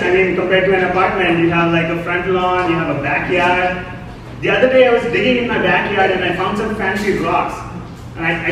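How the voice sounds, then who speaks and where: Indian-accented man doing stand-up, high voice. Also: distant mic, background noise. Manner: deadpan storytelling with acted quotes. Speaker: stand-up comic. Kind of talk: stand-up comedy set. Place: comedy club stage. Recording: echoey club, mic picked up from afar. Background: audience laughter.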